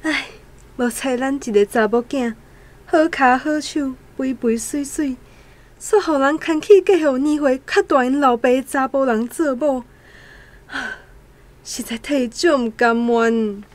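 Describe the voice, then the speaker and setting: A woman's voice: high-pitched, measured pace. An actress with a Taiwanese accent playing a plain-spoken, homely country mother in a radio drama. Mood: sorrowful, reluctant. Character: plain-spoken country mother seeking a match for her children. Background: instrumental music.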